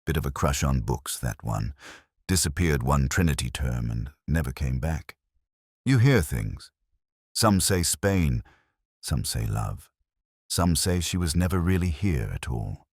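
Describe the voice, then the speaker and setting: A man's voice: rumbling low, sing-song, measured pace, a little mellow, breathy edge, dry recording, studio quality, exaggerated voice. A man voicing a guarded, reluctant college porter who speaks in short, hesitant phrases. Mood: guarded, wistful.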